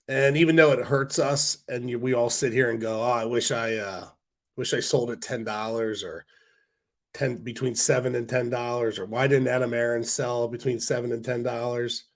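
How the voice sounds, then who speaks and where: A man hosting a casual stock-market livestream, hoarse from a cold, rambling with fillers and restarts while answering viewer comments. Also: medium pitch, steady tone, normal speed. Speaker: stock stream host.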